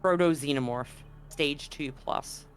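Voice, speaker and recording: A man's voice: on the high side, measured pace, slight background noise. A man acting as game master, narrating a tabletop roleplaying game and reading handouts aloud.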